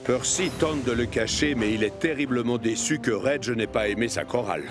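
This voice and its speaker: A man narrating a children's cartoon in French dub, with an expressive storytelling manner. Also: medium pitch, normal speed, music in the background.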